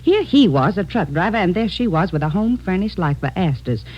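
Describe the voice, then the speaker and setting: An actress playing a chatty, nosy, gushing neighbor in an effusive, affected 1940s radio drama style. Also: female voice, fairly low pitch, sing-song, fairly quick, husky. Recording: dull, lo-fi old radio recording.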